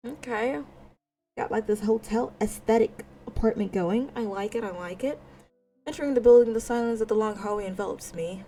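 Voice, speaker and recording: A woman's voice: medium pitch, dark tone, slight background noise, poor audio. A woman streamer doing casual, playful let's-play commentary and reading a visual novel aloud in an informal, self-deprecating way. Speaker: gaming streamer.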